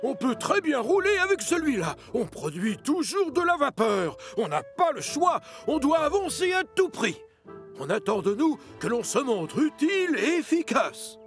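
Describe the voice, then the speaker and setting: Earnest, high-pitched cartoon steam engine. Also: male voice, big pitch swings, normal speed, faint music behind, exaggerated voice. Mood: determined. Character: earnest, dutiful, stubborn male steam engine, anxious to be useful. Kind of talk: French children's cartoon voice acting.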